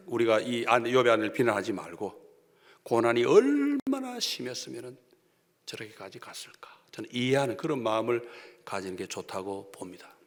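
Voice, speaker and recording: A male pastor with a Gyeongsang accent giving an emphatic sermon, swinging between loud declarations and hushed asides. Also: mid-range voice. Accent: Gyeongsang Korean. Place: church sanctuary. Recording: long church hall reverb.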